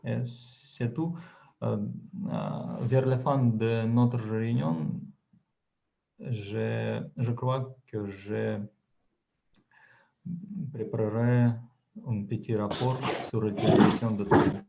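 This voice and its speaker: A man serving as meeting timekeeper, speaking hesitantly with many pauses over dull, lo-fi video call audio. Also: on the low side, steady tone, gravelly, mellow. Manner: hesitant, full of pauses and fillers. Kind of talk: brief meeting report.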